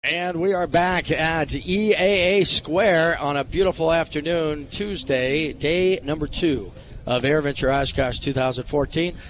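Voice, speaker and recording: Radio host, higher-pitched, on location. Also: male voice, measured pace. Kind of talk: live on-location radio hosting. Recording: ambient event noise behind voice.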